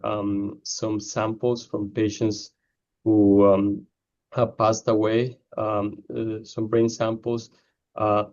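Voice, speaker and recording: A man from Ecuador speaks in a low, flat voice, pausing often with ums and uhs as he explains his work. The sound is dull and lo-fi, like a video call. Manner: hesitant, many fillers. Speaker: neurosurgeon researcher. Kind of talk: interview answers.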